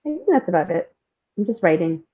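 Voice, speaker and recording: A woman from India and Singapore chatting casually as an interview guest, telling personal stories in an animated way with frequent laughter. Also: fairly low pitch, brisk, breathy edge. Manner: animated, laughs often while talking. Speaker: podcast guest, playwright and screenwriter. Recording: thin phone-like call audio.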